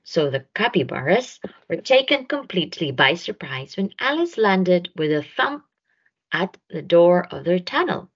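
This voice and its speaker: Slow, animated woman reading a picture book. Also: fairly low pitch, slightly rough, lo-fi sound. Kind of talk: expressive children's read-aloud narration.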